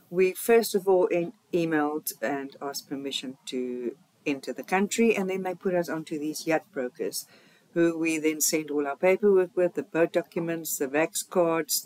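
A woman's voice: sits low, darkish tone, low-quality recording. A woman with a South African accent giving chatty, informal voice-over narration for a travel vlog.

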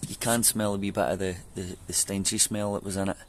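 Low-voiced man, chatty garden vlog. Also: little pitch movement, brisk, slight background noise. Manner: chatty, loose, with many ums and restarts. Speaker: home DIY vlogger. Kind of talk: casual how-to vlog. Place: back garden outdoors. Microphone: camera mic on a fixed camera.

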